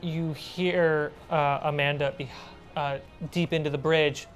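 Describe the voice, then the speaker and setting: Low-voiced woman running a tabletop game. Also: fairly even intonation, slow, soft background music. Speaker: game master. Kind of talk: narrating a tabletop role-playing game.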